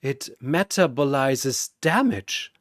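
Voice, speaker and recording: A man's voice: mid-range voice, lively intonation, slow pace, fairly bright tone, dry recording, studio quality. A man playing a coldly fascinated mad scientist in a story, precise, smug and analytical.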